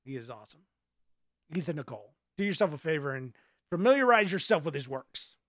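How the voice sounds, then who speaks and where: Higher-pitched man, thoughtful and halting. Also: very animated, a bit bright, dry recording, studio quality. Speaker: author being interviewed. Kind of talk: casual interview answers.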